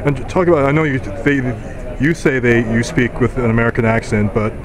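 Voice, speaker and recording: A man's voice: mid-range voice, brisk. A man interviewing a player after a game, asking long, rambling, casual questions full of fillers. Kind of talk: post-game sports interview. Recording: crowded mixed zone chatter.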